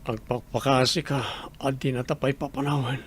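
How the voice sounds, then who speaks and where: A man's voice: medium pitch, little pitch movement, moderate pace, breathy edge, lightly acted. A man playing a meek, pleading character short of money, with an Ilocano accent, in a radio drama.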